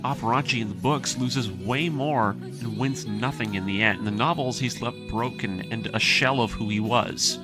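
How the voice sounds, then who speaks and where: A man narrating a scripted retelling and analysis as a video essayist, earnest and opinionated, with informal asides. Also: medium pitch. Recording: music behind the voice. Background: background music.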